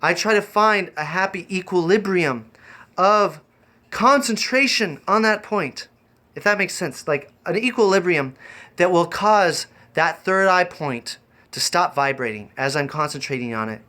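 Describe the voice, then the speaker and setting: A man with a fairly high, very bright and forward voice talks off the cuff on a livestream. He rambles as host, circling back over his own phrases in a casual way. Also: animated, unhurried. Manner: rambling, easily distracted, repeats phrases. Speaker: live-streaming host. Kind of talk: improvised casual livestream talk.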